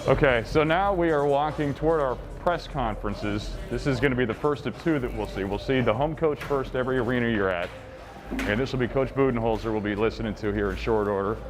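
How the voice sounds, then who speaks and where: A man's voice: medium pitch, fairly quick. A man talking casually and explaining things step by step, like an announcer giving a behind-the-scenes tour. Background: background music.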